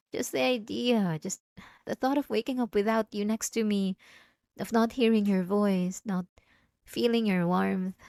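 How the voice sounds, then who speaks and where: A woman's voice: medium pitch, fairly even intonation, unhurried, vocal fry, darkish tone, dry recording, studio quality. A woman playing a possessive, obsessive wife in an intimate, emotional ASMR roleplay, speaking straight to the listener. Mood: pleading, wounded. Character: possessive, obsessive yandere wife, clingy and menacing.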